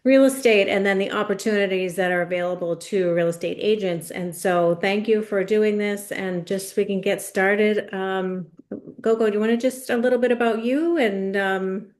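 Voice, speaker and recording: A woman's voice: medium pitch, fairly even intonation, darkish tone. Eager woman hosting a podcast interview. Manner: eager, fills pauses with "um". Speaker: podcast host.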